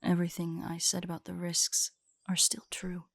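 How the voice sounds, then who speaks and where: A woman playing an ancient, guarded female drider in an intimate audio roleplay, speaking in a formal, measured, haughty way with a husky, breathy voice. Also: fairly low pitch, monotone, dry recording, studio quality. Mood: wary, grudging.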